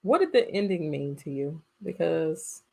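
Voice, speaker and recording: A woman's voice: low voice, lively intonation, a little slow, gravelly, a little mellow. A woman co-hosting a podcast, chatting casually about film in a blunt, informal, teasing way. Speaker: podcast co-host.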